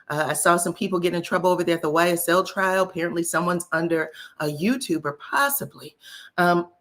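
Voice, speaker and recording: A woman's voice: lowish voice, fairly bright tone. A woman hosting a casual live-stream gossip chat, scratchy voice, talking folksy and direct to her viewers. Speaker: celebrity gossip YouTuber.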